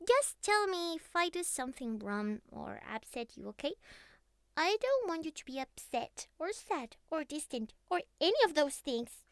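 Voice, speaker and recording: Very high-voiced, naive young catgirl. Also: very animated, normal speed, no room sound, clean studio sound. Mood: tender, pleading. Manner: childlike, hesitant, pleading, slightly formal phrasing. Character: young catgirl, former house cat, naive, clingy, earnest. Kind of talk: intimate ASMR roleplay monologue.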